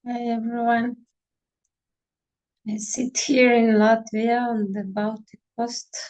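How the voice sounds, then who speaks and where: A woman from Siberia, sharing in an online circle, speaking slowly and reflectively as she searches for words. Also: on the high side, flat delivery, darkish tone, low-quality recording. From Siberia, Russia. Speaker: participant.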